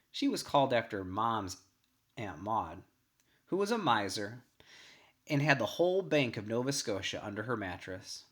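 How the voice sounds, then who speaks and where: A man with a medium-pitched voice reads a first-person short story aloud as scripted narration. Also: a bit bright. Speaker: story reader.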